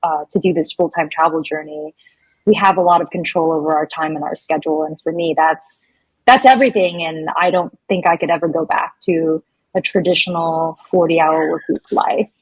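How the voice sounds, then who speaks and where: Husky woman chatting as podcast guest. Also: deep voice, fairly even intonation, moderate pace, no echo, lo-fi sound. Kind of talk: casual interview chat.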